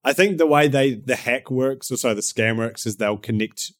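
A man's voice: medium pitch, moderate pace, a bit bright, no room sound, clean studio sound. A man with a New Zealand accent, chatting as a podcast guest, swearing often and laughing a lot. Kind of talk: casual chat.

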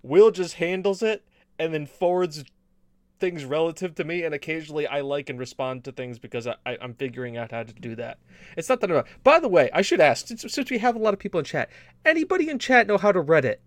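A man's voice: fairly high pitch, lively intonation, fast, no echo. A man co-hosting a casual gaming podcast, chatting in a rambling, joking way with repeated and restarted phrases. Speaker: podcast co-host.

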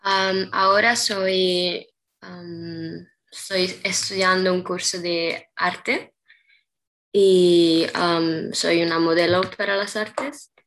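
Slow, gravelly-voiced young woman, hesitant. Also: fairly low pitch, fairly even intonation, a bit bright. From Peru. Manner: hesitant, many ums and restarts. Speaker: interview guest, artist's model. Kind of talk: casual interview chat.

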